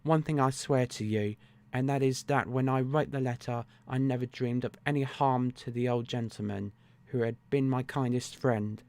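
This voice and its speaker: A man's voice: medium pitch, fairly even intonation, moderate pace, darkish tone, lightly acted. A man voicing a desperate Victorian woman. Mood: bitter. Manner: pleading, indignant outbursts.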